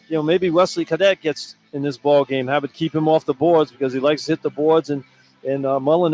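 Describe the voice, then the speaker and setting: Fast-talking older American male color commentator. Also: a little high, darkish tone. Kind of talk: conversational sports analysis. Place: indoor sports arena. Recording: noisy live arena broadcast. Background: arena ambience and noise.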